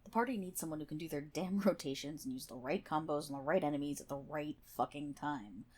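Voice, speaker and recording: Woman acting a brash, husky tsundere gamer girl. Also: low voice, fairly quick, a little mellow. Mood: sarcastic, scolding. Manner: sarcastic, scolding, sweary. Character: brash, prickly tsundere MMO guild healer, a woman gamer. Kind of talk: ASMR roleplay, spoken one-on-one to the listener.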